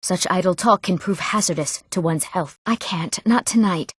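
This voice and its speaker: A woman's voice: lowish voice, normal speed, slightly rough, dry recording, clean studio sound. A young woman voicing an eager, proud young Nord townswoman in scripted fantasy game dialogue, with an earnest delivery. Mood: guarded, hushed. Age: young adult. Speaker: video game voice actor.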